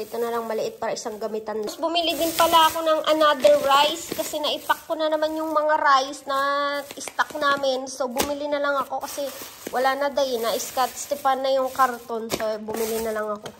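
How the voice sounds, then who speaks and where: A woman's voice: high voice, fairly even intonation, normal speed, background noise. A woman in her thirties with a Filipino accent, chatting casually and playfully to her vlog followers, recorded on a handheld phone at home. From Philippines. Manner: chatty, informal, playful asides. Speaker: vlogger. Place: indoors at home, kitchen and living area. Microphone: phone at arm's length.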